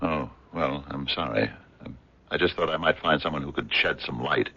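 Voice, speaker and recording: Earnest American scientist, very low husky voice, hesitant and polite, radio drama, muffled recording. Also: male voice, normal speed, pushed and bright, full character voice. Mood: apologetic, disappointed. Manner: hesitant, polite, wordy, stammering. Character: earnest, bumbling American physicist abroad, courteous and curious. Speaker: actor in a radio mystery.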